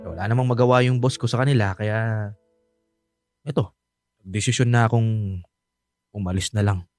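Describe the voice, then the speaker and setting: A man's voice: fairly low pitch, steady tone, normal speed, a little mellow, no room sound, close to an everyday voice. Actor doing bitter young guy, protective son in a radio drama, heated and insistent, bit of music behind. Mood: weary, frustrated.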